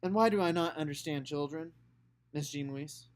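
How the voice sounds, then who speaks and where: A man voicing a firm, middle-aged uncle who scolds in measured tones. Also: fairly high pitch, lightly acted. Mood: stern.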